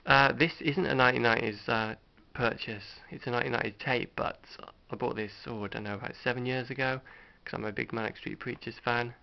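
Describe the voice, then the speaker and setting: A middle-aged British man with gravel in an otherwise smooth voice hosts a casual show-and-tell. He rambles along in an understated, hesitant way. The sound is dull and lo-fi, like a home recording. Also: mid-range voice, fairly even intonation, a little fast, hardly any pauses. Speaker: video host showing a tape collection.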